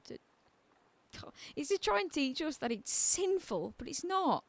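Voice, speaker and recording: A woman's voice: high voice, sing-song, measured pace, creaky, no echo, full character voice. A woman playing a defiant, bitter asylum patient in a radio drama, with a probing, wry edge to her talk. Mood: distressed, urgent.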